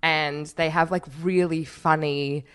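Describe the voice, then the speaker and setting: Low-voiced giggly young woman. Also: steady tone, slow, fairly bright tone, clear, resonant tone. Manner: giggly, rambling, self-correcting. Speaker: podcast co-host. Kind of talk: casual chat.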